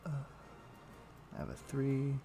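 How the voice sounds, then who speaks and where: A man streaming a game, chatting casually and thinking aloud in a halting, muttering way as he plays. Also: medium pitch, very animated, vocal fry, dark tone, soft background music. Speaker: game livestreamer. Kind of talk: casual livestream chat with gameplay commentary.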